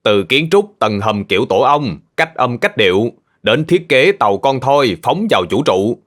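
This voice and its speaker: A man's voice: mid-range voice, very animated, moderate pace. A man voicing a friendly farm-owner uncle who patiently teaches children in an educational cartoon dub. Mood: friendly, instructive. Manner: patient, explanatory, addressing children gently.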